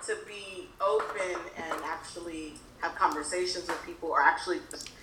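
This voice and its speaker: A woman co-hosting a casual chat, speaking thoughtfully and trailing off softly. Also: on the low side, normal speed. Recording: distant echoey room with clear noise.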